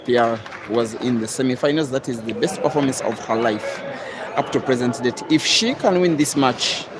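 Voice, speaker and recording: A man from East Africa giving live, measured commentary on a pool match, with sudden excited rises. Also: medium pitch. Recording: loud crowd and noisy outdoor venue.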